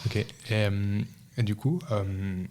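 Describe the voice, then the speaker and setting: Man, podcast host, low mellow voice, casual interviewing style. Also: fairly even intonation, takes it slowly, a little hiss or hum.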